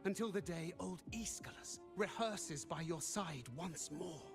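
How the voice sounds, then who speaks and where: An actor playing a grandiose, persuasive mastermind in a game, with a deliberate, oratorical delivery. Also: male voice, high-pitched. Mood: grand, persuasive. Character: grandiose, persuasive male mastermind urging someone onward. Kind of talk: dramatic game voice acting. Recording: voice under prominent string music. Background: violin music.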